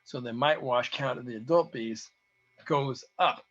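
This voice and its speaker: Hoarse man presenting research. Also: mid-range voice, a little slow, a bit bright. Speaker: presenter, researcher giving a talk to beekeepers. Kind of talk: informal technical presentation with data. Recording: dull, lo-fi audio.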